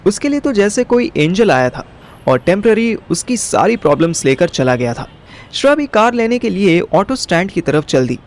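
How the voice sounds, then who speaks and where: Higher-pitched Indian man narrating a story. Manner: wry, colloquial storytelling. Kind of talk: scripted audio story narration. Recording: music behind the voice.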